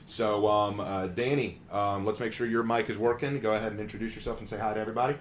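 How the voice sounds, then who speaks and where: A man's voice: on the low side, fairly even intonation, quick pace, a little hiss or hum. A man hosting a live stream, talking in a friendly, chatty way with frequent ums and uhs. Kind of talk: casual live stream commentary.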